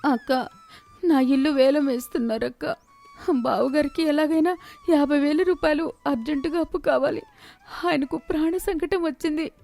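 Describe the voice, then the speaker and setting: A woman acts out a crafty young wife from a moral tale, exasperated and given to tearful pleading. Her voice is on the high side and dramatic. Light music runs underneath. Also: moderate pace, creaky, mellow. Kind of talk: dramatized moral story acting.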